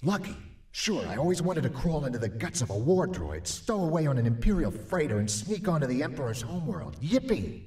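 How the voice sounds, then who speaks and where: A sarcastic, grumbling stowaway, played by a man swings his higher male voice all over. He sounds echoey and slightly distant, as in a cramped metal space, in a radio drama. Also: sing-song, a little fast, a little mellow, close to an everyday voice. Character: sarcastic, grumbling stowaway squeezed inside a war droid. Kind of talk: science fiction audio drama acting. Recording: echoey, muffled, off the microphone.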